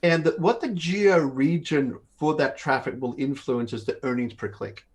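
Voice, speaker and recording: A man's voice: mid-range voice, moderate pace. A man in his fifties, born in Australia and raised in northern Canada, hosting a live webinar and explaining things step by step in a conversational way, often repeating words. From born in Australia, grew up in northern Canada. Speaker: company host of an online Q&A session. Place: home office, indoors. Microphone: video call.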